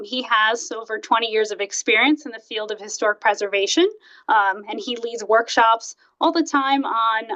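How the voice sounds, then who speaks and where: A woman hosting an online webinar and introducing it informally, with halting phrasing. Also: fairly high pitch, a bit bright. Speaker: program host introducing a speaker. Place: online video call. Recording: dull, muffled online call audio.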